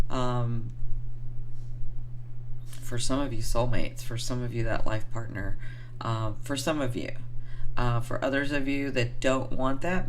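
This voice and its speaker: A hoarse woman who is getting over the flu, giving an affectionate, rambling tarot reading to her viewers with lots of fillers. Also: a bass voice, a little hiss or hum. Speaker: tarot reader.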